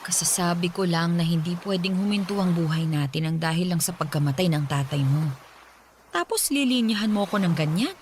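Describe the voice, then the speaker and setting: A woman's voice: lowish voice, lively intonation, a little fast, a little hiss or hum, natural voice. An actress playing a strict, exasperated middle-aged widowed mother with a Filipino accent in a Tagalog radio drama, scolding insistently. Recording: background music under the voice.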